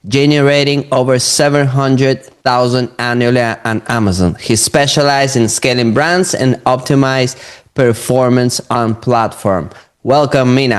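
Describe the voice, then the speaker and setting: Clear-voiced man hosting a podcast. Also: medium pitch. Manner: reads intro haltingly, stumbles over words. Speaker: podcast host. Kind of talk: casual interview hosting.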